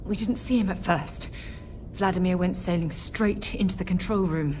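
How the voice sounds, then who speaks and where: An actress playing an injured, caring space explorer in a video game, shaken and weary, speaking haltingly and earnestly. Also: female voice, fairly low pitch, darkish tone, a little hiss or hum, close to an everyday voice. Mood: shaken, relieved.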